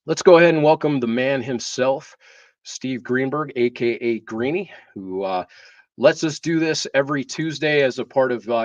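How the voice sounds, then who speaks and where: A man hosting a live talk show, speaking in a hesitant way with drawn-out pauses. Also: mid-range voice. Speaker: show host. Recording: dull, roomy, distant microphone.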